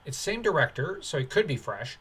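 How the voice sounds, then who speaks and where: A man's voice: fairly high pitch, big pitch swings, fairly quick, fairly bright tone, slight background noise. A man reading an article aloud as a commentator, breaking off into dismissive, sardonic, off-the-cuff asides. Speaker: online commentator.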